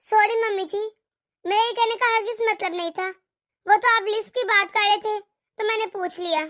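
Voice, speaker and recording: A woman voicing an outspoken young daughter-in-law in an animated family drama, respectful in address yet questioning and argumentative. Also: very high voice, normal speed. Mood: polite, questioning.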